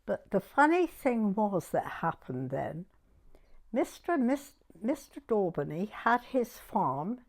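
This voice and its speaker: An elderly woman in her nineties with a southern English accent tells her memories informally. She speaks slowly and wanders, often dropping a sentence halfway and starting it another way. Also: medium pitch, sing-song. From Dorset, England. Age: 90s. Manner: rambling, often breaking off and rephrasing mid-sentence. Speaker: oral history interviewee. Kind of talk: informal spoken reminiscence.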